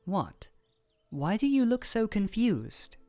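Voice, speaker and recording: A woman playing an aloof, regal ice elemental royal in a scripted fantasy audio roleplay, speaking in a formal, measured, deadpan way. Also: medium pitch, faint music behind. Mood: cool, matter-of-fact.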